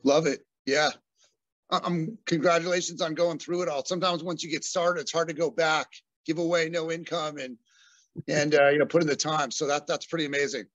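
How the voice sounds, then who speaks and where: Friendly middle-aged man, slightly high voice. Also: steady tone, fairly quick, fairly bright tone, dry recording, lo-fi sound. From Southern California. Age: 40s. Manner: friendly, rambling, many fillers. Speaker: guest, business owner. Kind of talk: casual interview conversation.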